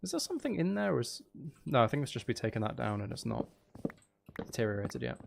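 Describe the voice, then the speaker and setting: A man with a mid-pitched voice talks casually on a live game stream. His manner is chatty and playfully dry, given to teasing. There is noticeable noise in the recording. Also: sing-song, fast talker, gravelly.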